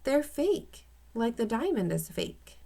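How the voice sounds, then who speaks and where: A woman reading aloud as a sharp, questioning young girl in a children's storybook. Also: a little high, sing-song, slow pace, gravelly, voice pushed up, full character voice. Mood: pointed.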